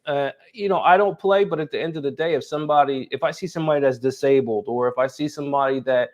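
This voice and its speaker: Male interview host, American accent, average pitch. Conversational, boastful, foul-mouthed. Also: quick pace. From North Philadelphia and Brooklyn, United States. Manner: boastful, profane. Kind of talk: casual conversation.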